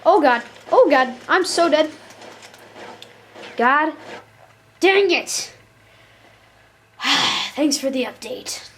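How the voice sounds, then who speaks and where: A woman's voice: high-pitched, lively intonation, extremely slow, pauses often. A woman giving casual, jokey commentary while playing a shooter game, often exasperated and talking to the characters on screen. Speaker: gamer.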